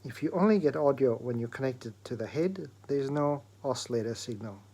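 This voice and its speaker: A man's voice: medium pitch, animated, fairly quick, dark tone. A man presenting an electronics repair demonstration, explaining things step by step in an informal way.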